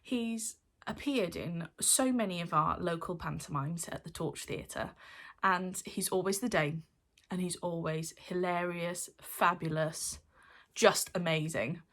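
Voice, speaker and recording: A woman's voice: lowish voice, measured pace, a bit bright. A woman host giving a gushing, emphatic introduction to an interview, addressing her viewers directly.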